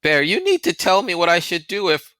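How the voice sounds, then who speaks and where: A man playing a frightened, devoted young boy in a storybook. The voice jumps high and swoops about, pleading and earnest, with a bright, dry sound. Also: wildly swooping pitch, a little fast, no echo, exaggerated voice. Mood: anxious pleading. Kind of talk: audiobook character voice.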